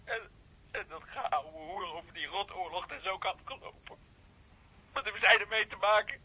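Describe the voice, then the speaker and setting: A man's voice: high voice, moderate pace, bright, forceful tone, husky, full character voice, poor audio. An actor playing a volatile, jealous young man in a radio play, agitated and stammering. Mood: sullen, challenging. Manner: agitated, stammering, repeating himself. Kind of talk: naturalistic radio play acting.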